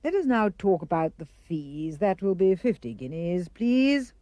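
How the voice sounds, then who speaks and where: A woman's voice: on the low side, very animated, measured pace, mellow, no echo, muffled recording. A woman voicing a pompous, mercenary male dentist with theatrical relish, in a children's verse reading. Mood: pompous, indignant.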